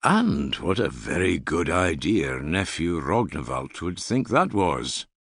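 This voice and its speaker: A man's voice: deep voice, very animated, takes it slowly, fairly bright tone, dry recording, exaggerated voice. A man voicing a self-assured Norse earl in an audiobook, with a dry, amiable irony. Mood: dryly ironic. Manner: dry, amiable, gravely ironic. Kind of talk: audiobook character dialogue.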